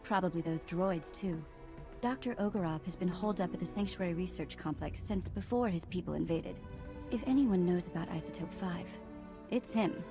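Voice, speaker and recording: A woman's voice: lowish voice, normal speed, music in the background, natural voice. A woman voicing a serious, businesslike mission briefer in a sci-fi game, matter-of-fact and informative. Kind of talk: scripted game dialogue.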